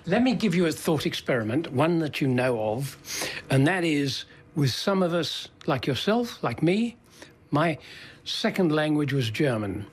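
A man's voice: on the high side, expressive, measured pace, husky. An older man interviewing in a conversational way, probing and thinking aloud as he puts his questions.

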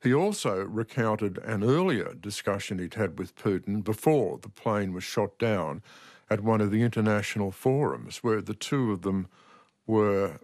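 A man gives measured interview commentary in a fairly low voice. He is deliberate and emphatic, often restating a phrase to stress it. The sound is very dry, with no room on it. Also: dry recording. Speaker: interviewed author and political commentator.

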